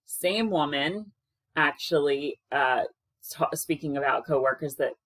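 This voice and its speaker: A woman in her thirties with a strikingly deep voice that carries, speaking loudly and frankly as a caregiver offering advice. She talks to a webcam in a small room. The sound is dry, with no room echo at all. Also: measured pace. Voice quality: low-pitched voice that projects. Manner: loud, frank and conversational. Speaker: caregiver sharing experiences. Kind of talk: casual advice talk to camera. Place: small indoor room with plain wall.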